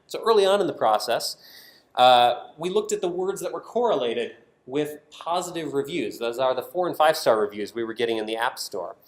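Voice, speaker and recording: An American man giving a prepared conference presentation, explaining his points emphatically in short, punchy statements. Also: mid-range voice. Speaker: conference speaker, design team member. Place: indoor conference session room. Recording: roomy echo of a presentation space.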